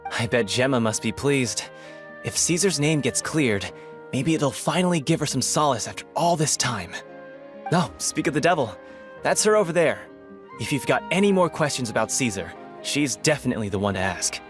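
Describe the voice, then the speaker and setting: A man's voice: medium pitch, expressive, moderate pace, soft background music, close to an everyday voice. An actor playing a friendly, chatty townsman in a video game, speaking in a matter-of-fact way.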